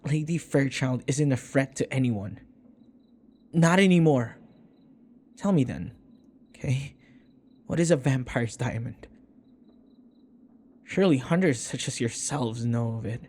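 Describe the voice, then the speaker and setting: A man playing a devoted, stubbornly protective servant in an audio roleplay, speaking in earnest, halting pleas. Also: mid-range voice, expressive, darkish tone. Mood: earnest, insistent. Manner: earnest pleading, halting with repeated words. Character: devoted young male servant, submissive, stubbornly protective of vampire mistress.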